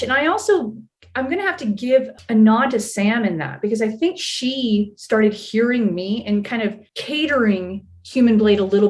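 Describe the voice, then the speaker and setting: A woman chatting casually as an interview guest, telling stories in a wry, self-deprecating and animated way. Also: mid-range voice. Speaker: voice actor being interviewed. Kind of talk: casual chat.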